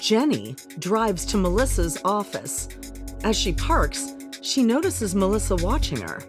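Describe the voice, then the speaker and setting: A woman's voice: mid-range voice, big pitch swings, unhurried. Woman narrator over prominent music. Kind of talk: scripted radio drama narration.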